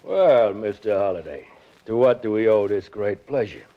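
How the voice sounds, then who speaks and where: An actor playing a sly, greedy clerk at a seedy hotel in an American radio drama, mock-polite and sardonic. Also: male voice, lowish voice, lively intonation, moderate pace. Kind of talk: 1940s radio drama acting. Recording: dull vintage radio recording.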